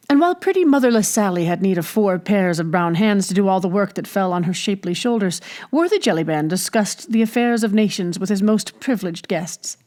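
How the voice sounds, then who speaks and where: A woman reading aloud in an expressive, scripted storybook narration style. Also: medium pitch. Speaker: audiobook reader.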